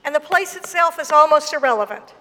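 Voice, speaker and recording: A woman pastor from Mississippi with a Southern accent, preaching a sermon and telling a personal story in a slow, emphatic way. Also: high voice, expressive, bright, forceful tone. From Mississippi, USA. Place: church gym. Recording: long hall reverb.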